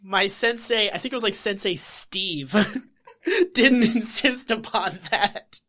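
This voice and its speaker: A woman co-hosting a casual podcast, wry and sweary, quick with jokes. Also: mid-range voice, pushed and bright. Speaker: podcast co-host.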